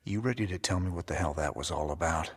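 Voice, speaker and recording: A man playing a wry, determined rebel space commander in a video game, with a husky drawl. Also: low-pitched, quick pace. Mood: confronting. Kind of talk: video game cutscene acting. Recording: reverberant room with music underneath.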